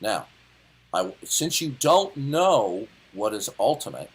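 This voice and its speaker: A man's voice: on the low side, very animated, takes it slowly, breathy edge. A man in his late fifties arguing in a heated debate, lecturing and interrupting in a condescending way. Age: 50s. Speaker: debate caller.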